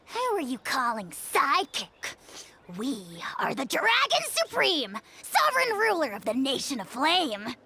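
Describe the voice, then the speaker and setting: A woman voicing a tiny, arrogant dragon lord in a fantasy game, speaking in a pompous, grandiose, theatrical manner and quick to anger. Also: high voice, sharp tone, a little hiss or hum, exaggerated voice. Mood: indignant, blustering.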